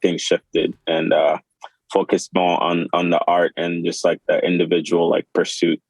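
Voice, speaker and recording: A man in his twenties, from Sudan and Kenya, tells his story as a podcast guest. His voice sits very low and stays flat, with many fillers and restarts. The sound is dry and close. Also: moderate pace, no room sound, poor audio. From born in Sudan, raised in Kenya. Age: 20s. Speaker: podcast guest, clothing designer. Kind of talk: casual interview.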